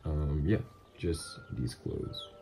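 A man's voice: rumbling low, expressive, drawn-out words, creaky, music in the background, heavily acted. A man voicing a flirtatious, easily flustered, doting mafia boss lover in a slightly nasal, hesitant ASMR roleplay. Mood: embarrassed, flustered.

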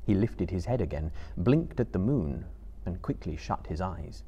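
A man in his thirties reads a novel aloud as a theatrical storyteller. His voice is fairly low and husky, and his deliberate narration rises and falls with lots of animation. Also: lively intonation, dark tone, highs cut off. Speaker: storyteller, reader. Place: seated in a book-lined room before a live audience.

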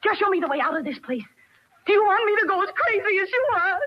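High-pitched, desperate, demanding woman. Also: animated, fast. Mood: scornful, urgent. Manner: insistent, imperious, sharp-tongued. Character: trapped, demanding, haughty woman, impatient and desperate. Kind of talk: old-time radio horror acting. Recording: dull, lo-fi old radio recording.

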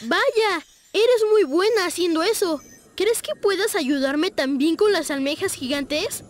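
A sweet, timid little cartoon fish acted by a woman with a boyish, extremely high voice. He speaks in an earnest, hesitant way, as a young character in an animated game. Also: measured pace. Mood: eager, grateful. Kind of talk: animated video game voice acting.

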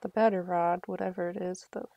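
A woman's voice: fairly low pitch, steady tone, brisk, creaky, highs cut off. A woman chatting casually on a live game stream, thinking aloud in a self-deprecating way.

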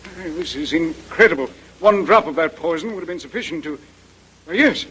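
An actor playing a grave, formal doctor in a 1930s radio mystery, speaking deliberately. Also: male voice, a little high, expressive, sharp tone, husky, heavily acted. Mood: grave, astonished. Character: authoritative male doctor, mature, grave and deliberate. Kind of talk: 1930s radio drama acting. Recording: noisy vintage 1930s radio recording.

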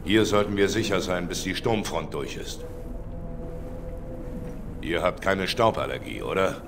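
Deep, gruff old veteran soldier. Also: male voice, a little slow, over music. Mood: dry, calm. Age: older. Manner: terse, dry, commanding. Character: gruff, weathered veteran soldier father, dry-witted. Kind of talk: German video game dubbing.

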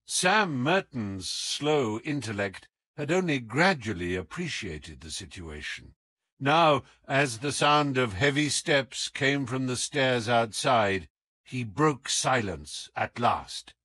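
A man reads literary fiction as an audiobook narrator. His mid-pitched delivery is slow and richly varied in intonation, with very little room sound. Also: sing-song, bright, forceful tone, dry recording.

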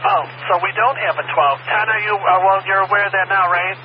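Male police dispatcher, high-pitched and procedural, heard through a noisy radio channel. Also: a little fast, lo-fi sound.

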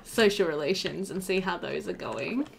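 A woman's voice: lowish voice, expressive, moderate pace, creaky, a little hiss or hum. A young woman doing casual, chatty let's play commentary, thinking aloud as she plays, indoors at a computer. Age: young adult. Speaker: gaming video host.

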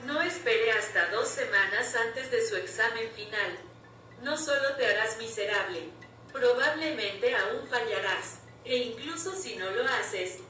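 A woman's voice: a little high, far from the mic. A woman giving an opinionated, wry voice-over about learning languages. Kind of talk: scripted voice-over commentary. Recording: roomy and off-mic with loud music.

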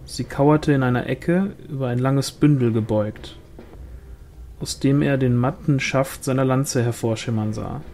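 A man's voice: medium pitch, unhurried, a little mellow, a little hiss or hum. A man reading a fantasy novel aloud as scripted literary narration. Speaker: audiobook reader.

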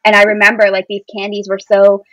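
A woman's voice: medium pitch, monotone, a little fast, a bit bright, dry recording, rough recording. An American woman in her thirties, a podcast guest telling personal stories in an enthusiastic, informal way. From Boston area, United States. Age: 30s. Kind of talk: casual personal storytelling.

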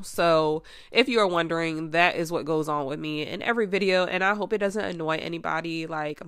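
Female nail vlogger, slightly low voice, hoarse and congested, chatty and rambling, dry close sound. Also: a bit bright, no echo. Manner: chatty and rambling, full of "like" and "you guys". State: hoarse and stuffed up. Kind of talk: casual nail art tutorial.

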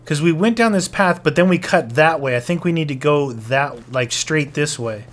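A young man chatting casually while playing a game, joking around and dropping silly puns. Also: a little high. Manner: jokey, playful, breaks into silly voices and drawn-out puns. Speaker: gaming YouTuber. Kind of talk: casual let's-play chat.

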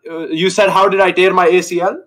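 A young man on a video call through a gaming headset, joking and boasting in a loud, cocky way. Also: high-pitched, flat delivery, fast. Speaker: online date contestant. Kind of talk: casual video call banter.

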